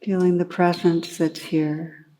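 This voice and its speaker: A woman meditation teacher leading a guided meditation in a calm, unhurried way, gently instructing with long pauses. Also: fairly low pitch, rough recording.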